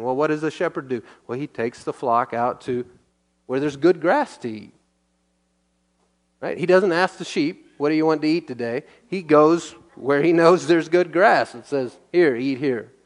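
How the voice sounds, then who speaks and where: Conversational male pastor teaching scripture. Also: a little high. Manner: conversational, reads scripture aloud then explains it plainly; asks and answers his own questions. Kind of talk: verse-by-verse Bible teaching.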